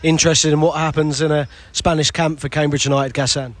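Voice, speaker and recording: A male sports radio reporter interviews a player beside a hotel pool outdoors. His voice sits a little high, and he rambles into each question chattily. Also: little pitch movement, brisk. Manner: chatty, long lead-ins to questions.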